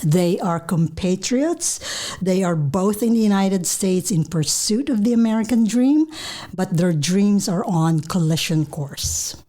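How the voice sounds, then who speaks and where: A woman's voice: medium pitch, animated, measured pace, warm tone. Middle-aged female guest, Filipino accent, mid-range voice, conversational interview delivery. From Philippines.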